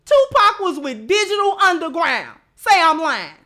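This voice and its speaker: High, bright-voiced American woman. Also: very animated, measured pace. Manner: emphatic, repeats phrases for effect; informal colloquial speech. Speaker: livestream host. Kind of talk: opinionated commentary, reading out viewer comments.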